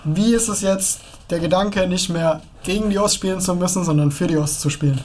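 A man's voice: sits high, brisk, darkish tone, a little hiss or hum. A man as co-interviewer, asking direct questions in a casual interview.

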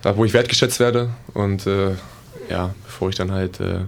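A young man speaking as a guest footballer in a casual interview, halting often with fillers. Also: deep voice, fairly even intonation, creaky, a little hiss or hum.

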